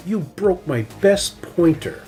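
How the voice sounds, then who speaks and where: A man's voice: high voice, expressive, slow, darkish tone, soft background music, voice pushed up. A man voicing a bossy, bragging young female bear cub with a scolding manner.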